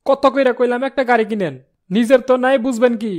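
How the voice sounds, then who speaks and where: A man's voice: very high voice, fairly quick. A man voicing a foolish, cheeky kidnapper henchman in a cartoon, complaining and talking back in colloquial Bangladeshi Bengali. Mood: aggrieved whining. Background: music.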